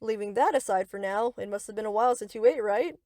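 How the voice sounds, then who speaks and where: A woman's voice: mid-range voice, fast talker, dark tone, dry recording, studio quality, close to an everyday voice. A woman playing a young yandere captor in a scripted roleplay, speaking to the listener with cutesy, rambling, possessive affection. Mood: sweetly possessive. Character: sweet, obsessive yandere best friend, young woman captor.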